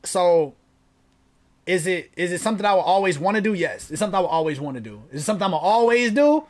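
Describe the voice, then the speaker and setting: A man's voice: high voice, very animated, fast talker. A man in his late twenties hosting a casual interview, talking loosely and profanely, joking at himself. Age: 20s. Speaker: host.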